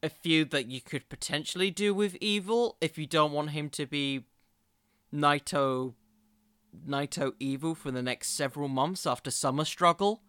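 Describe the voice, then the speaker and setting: A man hosting a solo podcast, giving conversational commentary in a musing, halting way with emphatic bursts. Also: a little high, a bit bright, smooth, no echo. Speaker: podcast host.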